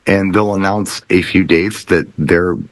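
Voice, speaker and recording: Man, lowish voice, very dry sound, podcast co-host, casual conversation. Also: fairly even intonation, measured pace, dry recording. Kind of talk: casual panel chat.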